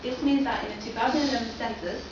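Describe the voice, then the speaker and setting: A young woman with a fairly high voice gives an academic talk, her questions to the audience carrying through a very echoey lecture hall. She is picked up from far off, and the sound is dull with steady noise behind it. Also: fast talker. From London. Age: young adult. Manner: poses many questions to the audience. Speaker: seminar presenter. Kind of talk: academic presentation.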